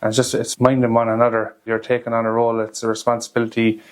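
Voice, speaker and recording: Even-toned Irish man in interview. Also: medium pitch, fast. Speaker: social care worker. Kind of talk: reflective interview talk.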